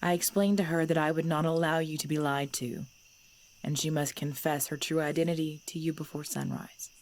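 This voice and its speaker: A woman playing a centuries-old vampire mistress in an ASMR audio drama, speaking formally in a breathy, measured voice. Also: sits low, fairly even intonation. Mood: grave, resolute. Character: centuries-old vampire mistress, female, cold ruler turned protective.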